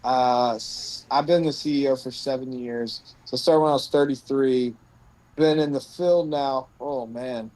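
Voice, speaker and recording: A man's voice: medium pitch, steady tone, unhurried, darkish tone, no room sound, rough recording. Earnest man in his thirties, dry sound. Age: 30s. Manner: earnest, thinks aloud with restarts. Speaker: rescue mission CEO. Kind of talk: casual conversation on a livestream. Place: outdoors on a bench.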